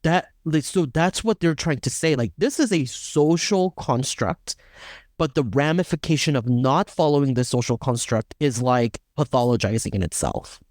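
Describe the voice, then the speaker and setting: A man's voice: mid-range voice, lively intonation, moderate pace, darkish tone, no room sound, clean studio sound. A man co-hosting a podcast, talking through ideas in a casual academic discussion and often checking in with "right?". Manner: thinks aloud with fillers and restarts, often adds "right?". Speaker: podcast co-host.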